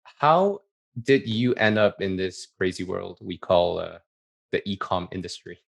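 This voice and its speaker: A man hosting a podcast, reading a guest introduction and asking questions in a measured way with small hesitations. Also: sits low, expressive, creaky. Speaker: podcast host. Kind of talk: scripted intro then casual interview.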